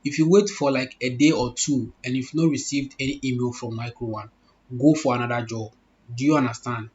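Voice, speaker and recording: A man's voice: fairly even intonation, a little fast, darkish tone. Young man, tutorial host: instructive, repetitive, a slightly dull lo-fi mic. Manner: repetitive, instructive, checks in with the listener. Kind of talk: screen-recorded walkthrough. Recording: muffled low-fidelity audio.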